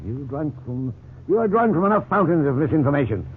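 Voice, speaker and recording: Stern dry boss from a 50s radio show, formal n sardonic, highish voice, thin crackly old sound. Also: male voice, sing-song, fairly quick, phone-quality sound. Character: stern, dry but supportive male company boss. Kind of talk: 1950s radio drama acting. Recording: noisy, thin 1950s radio recording.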